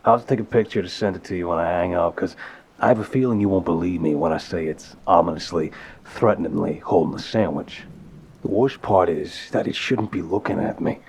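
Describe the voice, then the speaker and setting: A man playing an apologetic, smitten mafia boss in an intimate audio roleplay, tender and wryly self-deprecating, with a husky voice. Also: deep voice, a little mellow. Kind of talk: intimate ASMR roleplay, conversational voicemail monologue.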